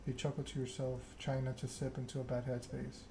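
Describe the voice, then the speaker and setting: A man narrating a story game aloud in ASMR style, reading in a measured way with occasional stumbles. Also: medium pitch, monotone, vocal fry, background noise.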